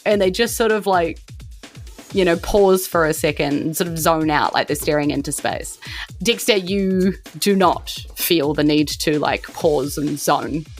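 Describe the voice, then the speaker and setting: A woman with a New Zealand accent narrating a tabletop game as game master in a loose, informal, halting way. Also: on the low side.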